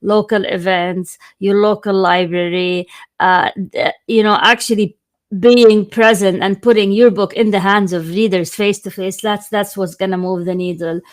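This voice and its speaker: A woman's voice: medium pitch, fairly even intonation, normal speed. A woman hosting an interview in a casual, informal, chatty way. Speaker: podcast host and journalist.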